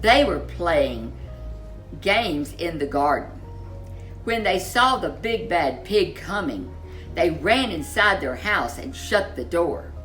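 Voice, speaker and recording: A woman reading a children's story aloud as host, with expressive narration and dramatic, drawn-out emphasis on key words. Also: on the low side, faint music behind, low-quality recording.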